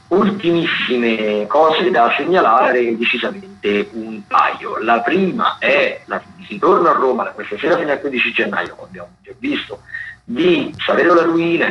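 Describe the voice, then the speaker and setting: A man hosting a radio show, talking through theatre listings in a halting, unscripted way. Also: mid-range voice. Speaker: radio host. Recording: dull, lo-fi radio sound with clear noise.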